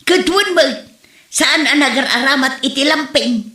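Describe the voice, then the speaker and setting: A woman playing a sharp-tongued village wife in an Ilocano comedy drama, with a Filipino Ilocano accent. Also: sits high, measured pace. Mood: chatty, mocking. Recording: roomy echo.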